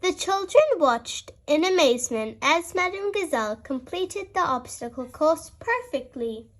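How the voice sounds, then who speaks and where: Slow, high-voiced woman reading a storybook. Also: animated, fairly bright tone. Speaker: storybook reader. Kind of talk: expressive children's read-aloud narration.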